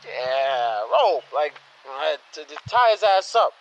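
A young man playing an eager, slangy superhero intern in an audio drama, talking in a halting, stammering way. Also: fairly high pitch, sing-song, lo-fi sound, full character voice. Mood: giddy, tipsy.

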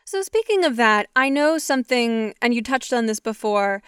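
A woman's voice: on the high side, expressive, normal speed, a bit bright, clear, resonant tone, dry recording, studio quality. A woman hosting a podcast, asking her guests questions in an easy conversational way. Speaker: podcast host. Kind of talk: interviewing.

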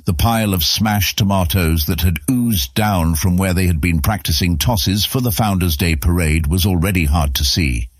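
A man's voice: deep voice, normal speed, few pauses, slightly rough, no echo, clean studio sound. A man narrating a scripted fantasy story as an audiobook narrator.

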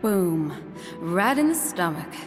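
An actress playing a brazen, hot-tempered country wife in an audio drama, blunt, folksy and theatrical. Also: female voice, lowish voice, very animated, slow pace. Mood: exasperated. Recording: long hall reverb, music behind.

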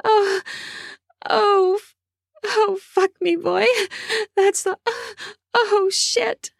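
A woman voicing an eager young female lover, breathy and pleading. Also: very high-pitched, fairly even intonation, extremely slow, dry recording, studio quality, pitched above the performer's own voice, heavily acted. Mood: aroused.

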